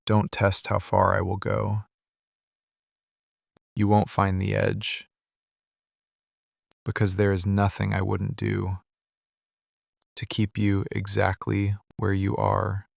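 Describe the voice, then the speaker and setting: Possessive crime boss played by a man for intimate ASMR roleplay: measured, intense, unhurried delivery, very close and dry. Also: lowish voice, completely flat delivery, vocal fry, no echo, full character voice. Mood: quiet menace. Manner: controlled, deliberate, intense, speaking in short measured statements. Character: possessive, menacing mafia boss in love, adult man. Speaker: voice actor. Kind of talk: boyfriend ASMR roleplay, intimate dramatic monologue. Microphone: close studio mic, dry.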